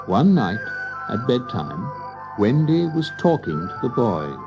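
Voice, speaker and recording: Very animated male storyteller. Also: medium pitch, slow pace, over music. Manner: expressive, storytelling. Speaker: narrator. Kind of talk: scripted children's story narration.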